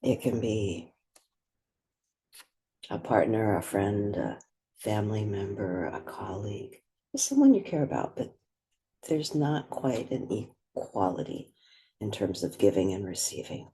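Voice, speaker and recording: A woman leading a guided meditation, speaking gently and without hurry, leaving long pauses between phrases. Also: very deep voice, very animated. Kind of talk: guided meditation, slow and spoken softly.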